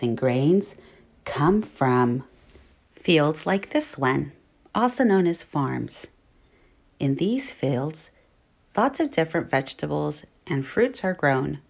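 Woman reading to children, very lively intonation. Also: low voice, dry recording. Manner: clear teacherly phrasing with questions to young listeners. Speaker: teacher reading aloud. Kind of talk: children's read-aloud narration.

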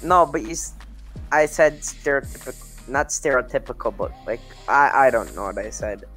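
A man's voice: mid-range voice, expressive, normal speed, fairly bright tone, soft background music. A young man from Minnesota with a Minnesota accent, chatting casually over voice chat while gaming and often stumbling over his words. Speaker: gamer on voice chat.

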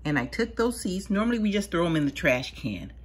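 A woman's voice: on the low side, very animated, brisk. A woman casually narrating a home gardening how-to in her sunroom, chatty and patient as she works with her hands. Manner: homey, patient, chatty explaining. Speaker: home gardener showing seed starting.

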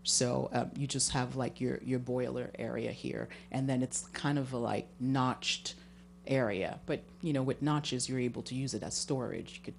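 Low-voiced woman explaining, faint hum. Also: normal speed, vocal fry. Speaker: homeowner applicant. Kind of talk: informal explanatory presentation. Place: municipal meeting room. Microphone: handheld microphone.

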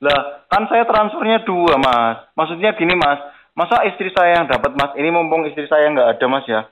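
A man with a fairly high voice chats casually on a call. His questions come across eager and naive, and the audio is a bit dull and lo-fi. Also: expressive, moderate pace. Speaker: prank caller playing a gullible prize winner. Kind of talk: casual phone chat.

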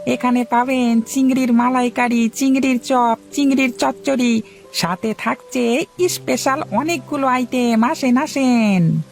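Cartoon shop boy, eager, servile, sing-song patter, gravelly, highish voice. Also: unhurried, darkish tone, faint music behind. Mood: hawking.

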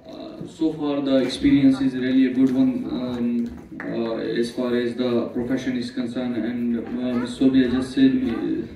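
A man, an intern pharmacist, speaking off the cuff to an audience, hesitant and filling pauses with "uh" and "um". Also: mid-range voice, little pitch movement, dark tone. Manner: hesitant, filled with uhs and ums. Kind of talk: impromptu remarks at an event. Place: large hall at a multicultural event. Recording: muffled echoey hall from far off.

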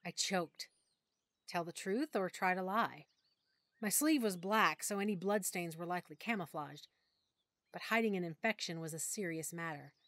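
A woman narrating a full-cast audiobook in first person, with expressive, clear storytelling. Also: lowish voice, normal speed, dry recording. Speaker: narrator and lead character.

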